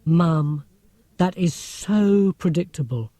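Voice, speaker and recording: A man's voice: fairly high pitch, expressive, slow, warm tone, no echo. A man voicing a cheeky, whiny, awkward schoolboy in a children's audiobook. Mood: grumpy.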